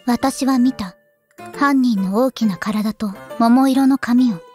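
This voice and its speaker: A woman's voice: fairly high pitch, takes it slowly, dark tone, soft background music. A woman playing an earnest little fairy girl acting out a theatrical, mock-serious detective in an anime audio drama. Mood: dramatic.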